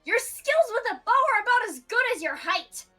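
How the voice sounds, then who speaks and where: Bright, very high-pitched animated woman. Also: moderate pace, faint music behind. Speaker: player in a tabletop game. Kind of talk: casual chat with in-character play.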